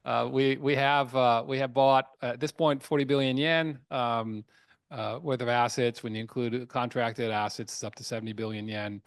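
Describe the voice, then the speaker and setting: A man speaking as a company chairman on an earnings call, explaining emphatically in a halting way, often repeating words and restarting. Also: medium pitch, fairly even intonation, gravelly, dry recording, clean studio sound. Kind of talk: earnings call presentation, semi-scripted business talk.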